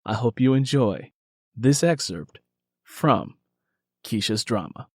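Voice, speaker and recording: A man's voice: medium pitch, animated, slow pace, slightly rough, no echo, clean studio sound. A man speaking as an author and podcast host, addressing his listeners directly in an earnest way. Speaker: author, creator and narrator of an audio drama podcast.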